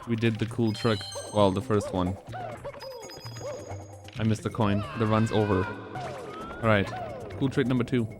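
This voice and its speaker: A man's voice: lowish voice, fairly even intonation, measured pace, pauses often, music in the background. A man in his twenties speedrunning on a live stream, rambling casually and answering chat between moves.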